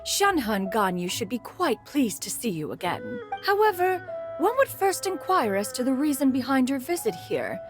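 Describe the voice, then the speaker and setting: A woman's voice: sits high, sing-song, moderate pace. A woman playing a proud immortal bird spirit in human form in a video game, speaking in a stately, formal, imperious manner. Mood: composed. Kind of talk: animated game voice acting. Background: music.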